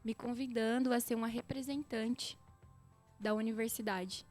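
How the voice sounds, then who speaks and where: Brazilian woman telling stories, fairly high voice. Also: normal speed, soft background music. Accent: Brazilian Portuguese. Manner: lively storyteller, often addresses listeners directly. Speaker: podcast guest. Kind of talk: casual chat.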